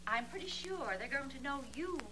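High-voiced, animated scheming young woman. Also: fairly quick. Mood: urgent, coaxing. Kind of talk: 1950 radio crime drama acting. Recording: faintly noisy old radio recording.

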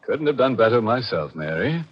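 An actor playing a tongue-in-cheek ghoulish host of a 1940s radio mystery show, darkly amused and mock-sympathetic. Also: male voice, mid-range voice, sing-song, moderate pace, slightly rough. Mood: ghoulishly amused. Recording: muffled old radio recording with music.

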